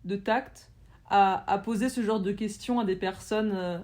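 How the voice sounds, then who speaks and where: Mellow-toned woman podcast host asking at length. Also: medium pitch, fairly even intonation, unhurried. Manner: long, searching question with restarts. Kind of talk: podcast discussion question.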